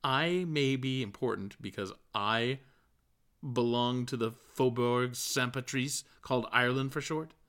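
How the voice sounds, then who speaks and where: A man's voice: medium pitch, measured pace, a bit bright, clear, resonant tone, voice pushed up. A man voicing a sullen young intellectual in an audiobook, dry, cross-tempered and aloof. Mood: sardonic.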